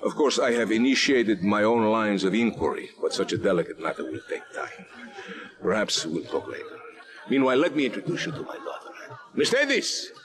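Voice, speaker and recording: An actor playing a suave older retired colonel in a video game, formal and courteous. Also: male voice, on the low side, big pitch swings. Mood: courtly. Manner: formal, courteous, measured wording. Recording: party crowd chatter behind.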